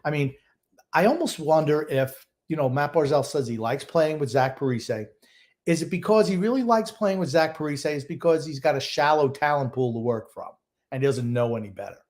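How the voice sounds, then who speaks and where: A man's voice: on the high side, fairly quick, a little mellow. An older man co-hosting a sports podcast, giving opinionated, sardonic takes in a casual, grumbling way.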